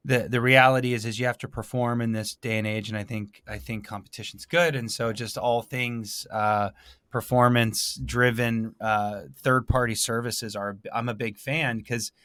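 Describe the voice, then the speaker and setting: A man hosting a casual interview, thinking aloud in a halting way and often rephrasing himself. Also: medium pitch, fairly even intonation, sharp tone, smooth, dry recording, studio quality. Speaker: podcast host.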